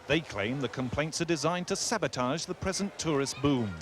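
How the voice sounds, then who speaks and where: A man with a slightly high voice reads a field news report. His delivery is brisk and descriptive, with faint tape hiss. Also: lively intonation, fairly bright tone.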